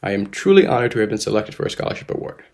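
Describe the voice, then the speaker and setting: Young guy reading a thank-you note quick, bouncy pitch, kinda low voice. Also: very animated, vocal fry, a little mellow. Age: 20s. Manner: polished, formal reading. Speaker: law student, scholarship recipient.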